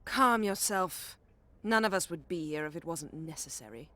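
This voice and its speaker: A woman playing a composed, authoritative official at a peace council in a fantasy video game, measured and formal. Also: fairly low pitch, expressive, bright, forceful tone, dry recording. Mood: firm, calming.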